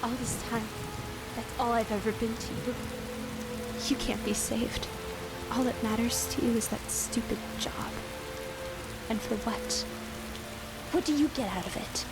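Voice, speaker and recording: A high, defiant young girl. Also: measured pace, long pauses, loud music behind the voice. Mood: anguished. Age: young adult. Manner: pleading, then anguished and accusing. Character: young woman nearly eighteen, defiant and hurt.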